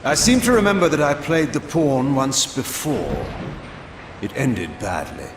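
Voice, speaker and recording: An actor voicing a proud, sardonic male vampire warlord in a video game, cold and imperious, with a theatrical, deliberate delivery. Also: mid-range voice, very animated, roomy sound, background noise. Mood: scornful. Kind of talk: scripted video game cutscene acting.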